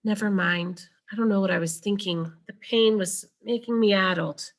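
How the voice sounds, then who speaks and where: A woman's voice: medium pitch, steady tone, moderate pace, natural voice. A woman voicing a pained, wise old female elephant in a children's storybook. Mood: weary, pleading.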